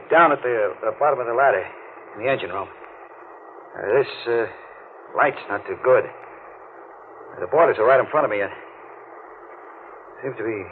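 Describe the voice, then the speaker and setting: A man acting a panicked, trapped deep-sea diver in an old-time radio thriller, halting, stammering and pleading. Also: natural voice. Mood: uneasy, hesitant. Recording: tinny, noisy vintage radio recording.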